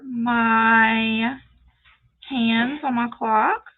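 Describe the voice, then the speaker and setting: A woman's voice: fairly high pitch, level tone, extremely slow. A woman with a North Louisiana Southern accent, chatting casually as she demonstrates a painting craft. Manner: chatty, explains as she paints, frequent fillers. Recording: tinny phone-like sound.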